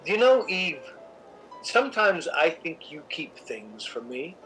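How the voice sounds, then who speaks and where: A man playing a suave, cynical, controlling theatre critic in a radio drama, dry, urbane and measured. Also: a little high, sing-song, fairly bright tone, soft background music, heavily acted, poor audio. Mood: coolly knowing. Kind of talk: recreated 1950s radio drama acting and narration.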